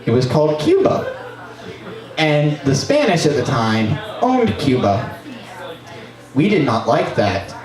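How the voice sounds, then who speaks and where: A man in his twenties doing a profane, animated comic history monologue at an open mic in a small bar, sometimes breaking into shouts. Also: fairly high pitch, takes it slowly, long pauses. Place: small bar stage, indoors. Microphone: stand mic, distant camera. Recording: roomy bar, camera far off.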